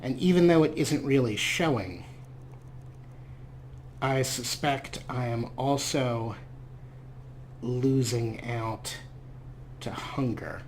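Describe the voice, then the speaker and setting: A man's voice: medium pitch, slow pace, pauses often, slight background noise. A man giving casual, self-deprecating gameplay commentary as a let's play host.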